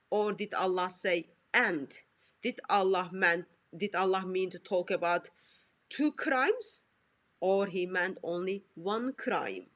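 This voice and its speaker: A woman's voice: mid-range voice, fairly bright tone. A woman hosting a religious discussion over a video call, asking pointed, repetitive questions. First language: not Arabic. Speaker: host. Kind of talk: presenting a religious debate.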